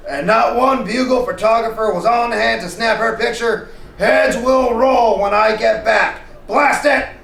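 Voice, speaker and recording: A man's voice: extremely high pitch, a little slow, vocal fry, hardly any pauses, a bit bright, voice pushed up, full character voice. A man playing a blustering, bombastic, grouchy newspaper boss from a comic book. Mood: outraged. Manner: blustering, indignant, bombastic declamation. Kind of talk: comic book dramatic reading before an audience. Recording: echoey, distant live recording with noise.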